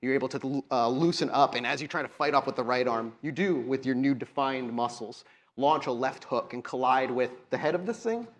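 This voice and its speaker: A man's voice: medium pitch, lively intonation, a little fast. A man game mastering a live tabletop session. He describes horror action vividly and with suspense, then drops into casual asides to direct the players. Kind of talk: improvised horror narration and game refereeing. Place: live convention show before an audience.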